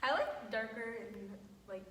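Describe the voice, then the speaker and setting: A woman's voice: on the high side, expressive, unhurried, background noise. A woman of twenty-one, born in India, speaking hesitantly with many fillers as a panel guest. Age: 20s. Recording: echoey room, picked up from a distance.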